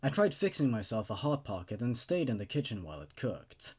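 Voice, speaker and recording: A man's voice: mid-range voice, very animated, a little fast, darkish tone, husky. A man hosting a horror story show, moving between casual commentary and reading stories aloud. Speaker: host and reader of an online horror story show.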